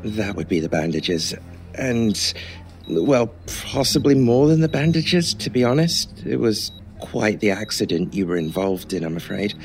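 A man playing a gentle, reassuring hospital nurse in an audio drama, with soothing and hesitant asides. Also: lowish voice, big pitch swings, a little slow. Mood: frank reassurance. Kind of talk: audio drama acting in old-time radio manner.

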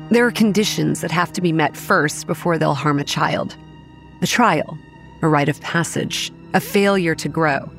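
A young woman acting as narrator of a horror audio drama, recounting grim childhood memories in a measured, matter-of-fact way. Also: low voice. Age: young adult. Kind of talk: scripted first-person horror narration. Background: soft music.

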